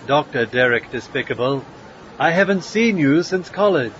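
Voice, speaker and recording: Man playing a pompous villainous scientist, highish voice. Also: lively intonation, fairly bright tone, muffled recording, a little hiss or hum. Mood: sneering, menacing. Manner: pompous, sneering, drawn-out menace. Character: haughty, menacing male rival mad scientist villain. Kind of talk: comic audio drama villain acting.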